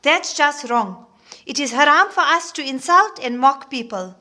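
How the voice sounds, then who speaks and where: A firm class teacher with a high, bright, sharp voice. She is exasperated and authoritative in this audio drama. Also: animated, full character voice. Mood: scolding. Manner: scolding, brisk authority.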